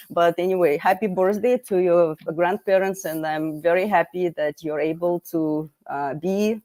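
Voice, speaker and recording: A woman with a somewhat low voice hosts an online interview. She talks in a chatty, friendly way and often restarts her sentences with fillers. She is heard over a video call. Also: unhurried, mellow. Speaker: host.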